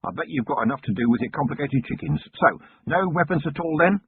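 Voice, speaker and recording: A man voicing a weary, sardonic male police commander who speaks tersely in a raspy voice. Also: on the high side, lively intonation, quick pace, a little hiss or hum, pitched above the performer's own voice. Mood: dry. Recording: muffled lo-fi narrow-band recording.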